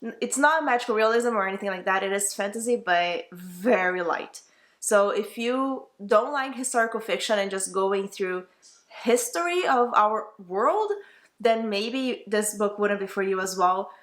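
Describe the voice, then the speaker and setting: A woman's voice: mid-range voice, normal speed, a bit bright. A young woman with a Brazilian Portuguese accent, a native Portuguese speaker, giving a casual, enthusiastic book review that rambles and corrects itself as she goes.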